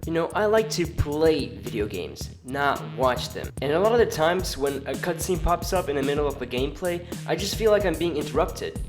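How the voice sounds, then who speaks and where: A man's voice: on the high side, animated, normal speed, hardly any pauses. A man giving casual, informal opinion commentary as a video narrator, with the odd sweary, playful aside. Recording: music under the voice. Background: background music.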